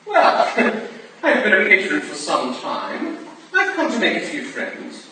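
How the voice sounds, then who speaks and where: Man acting flirtatious aristocrat, high swooping voice, florid period drama delivery, distant from mic. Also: wildly swooping pitch, slow pace, sharp tone, heavily acted. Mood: smooth, boastful. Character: suave, flirtatious lord and boastful ballet patron. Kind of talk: theatrical staged reading of period drama. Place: staged reading in a large echoey room. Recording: echoey hall from far off, faint noise.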